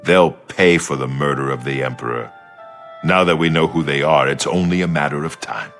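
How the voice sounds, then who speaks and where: A man voicing a gruff, resolute seasoned warrior and secret agent in a fantasy video game. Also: a bass voice, normal speed, a bit bright, soft background music. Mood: determined. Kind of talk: video game dialogue acting.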